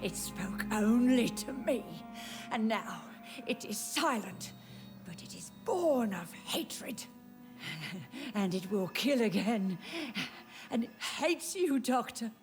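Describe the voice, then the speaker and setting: A sickly undead woman speaks very slowly in a fairly high voice. She is bitter and sinister, taunting like a game villain who relishes her hatred, with music playing underneath. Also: lively intonation. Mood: gleeful menace. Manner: slow, taunting, menacing, savoring words. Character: bitter, sick undead woman, hateful, gleefully sinister, cryptic. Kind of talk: video game dramatic acting.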